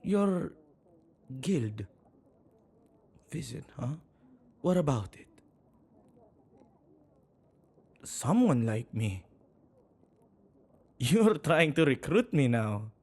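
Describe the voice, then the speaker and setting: A man playing a flustered, self-neglecting male witch in an ASMR audio roleplay, speaking intimately and haltingly to the listener. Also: mid-range voice, big pitch swings, a little mellow, breathy edge, exaggerated voice. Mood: flustered, defensive. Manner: hesitant, halting, with sighs and murmured asides.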